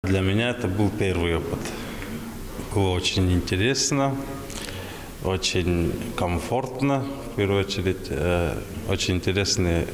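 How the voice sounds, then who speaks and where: A man giving a measured, unscripted interview answer, pausing between phrases. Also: low voice, animated. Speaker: musician and throat singer, voice actor. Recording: echoey reverberant hall with crowd.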